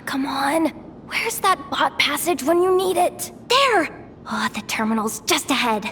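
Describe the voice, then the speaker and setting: A resourceful young infiltrator talks briskly in a high voice that moves lively up and down. She takes charge and murmurs asides to herself. Faint game music sits behind her. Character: quick-witted young woman infiltrator, resourceful. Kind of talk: video game cutscene dub.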